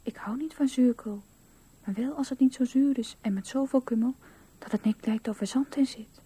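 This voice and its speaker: Little girl, high-pitched, mellow tone, naive, candid, artless radio play delivery. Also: normal speed, slightly rough. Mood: dreamy listing. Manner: childlike, artless. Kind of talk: radio drama.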